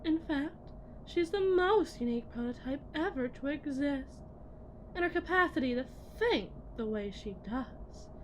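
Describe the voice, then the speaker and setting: A woman playing a cold, condescending lead technician in a scripted ASMR roleplay, speaking in a measured way that drifts into whispers. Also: high-pitched, animated, a little hiss or hum, rough recording. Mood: pointed, intense. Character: cold, condescending female lead technician at shady tech company.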